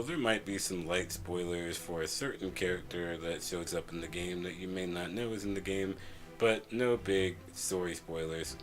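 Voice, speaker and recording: A man's voice: deep voice, poor audio. A man reviewing a video game in scripted narration, a little stuffy from a cold, plain and friendly.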